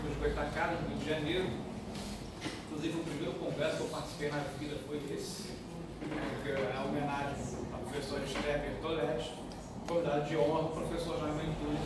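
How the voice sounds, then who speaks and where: A very reverberant, distant recording with loud noise carries a Brazilian man's voice. He is a speaker at an academic conference, formally talking through slides in a lecture room. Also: on the high side. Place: lecture room with an audience, indoors. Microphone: distant camera in the audience. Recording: very echoey, noisy room recorded from afar.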